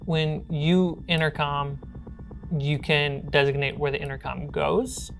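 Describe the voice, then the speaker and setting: Low-pitched, slow-talking woman game master. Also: steady tone, vocal fry, faint music behind. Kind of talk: narrating a tabletop horror role-playing game.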